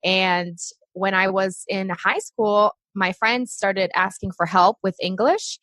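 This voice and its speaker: A woman's voice: mid-range voice, fairly even intonation, slow pace, fairly bright tone, dry recording, poor audio. Chatty woman from Brazil, dry close sound. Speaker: podcast guest, success coach for moms. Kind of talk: casual interview chat.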